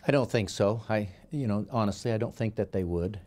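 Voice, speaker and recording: A man's voice: lowish voice, brisk, a little mellow. A man who is a school superintendent, talking plainly in an interview. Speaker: small-town school superintendent. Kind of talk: plain-spoken interview talk.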